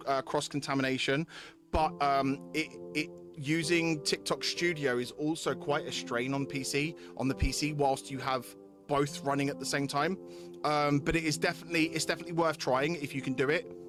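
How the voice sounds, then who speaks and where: Male streamer, fairly high pitch, informal and repetitive, talking to chat with music clearly behind him. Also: steady tone, normal speed. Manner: repeats phrases while addressing chatters. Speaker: live game streamer. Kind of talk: casual stream chat mixed with reading visual novel text aloud.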